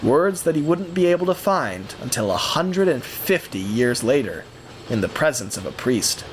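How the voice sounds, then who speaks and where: Male narrator, theatrical story reading, highly varied intonation, measured pauses, noticeable background hum. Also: very animated, low-quality recording. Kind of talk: scripted dramatic storytelling narration.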